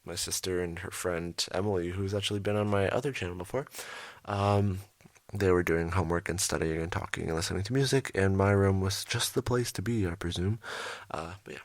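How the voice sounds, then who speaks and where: A young man hosting his art channel, rambling through a late-night voiceover with lots of ums, speaking quietly and a little raspy. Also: low-pitched, steady tone, fairly quick, dry recording. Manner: rambling, many ums, trailing off. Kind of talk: casual voiceover over a speed drawing. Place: his bedroom at home, at night.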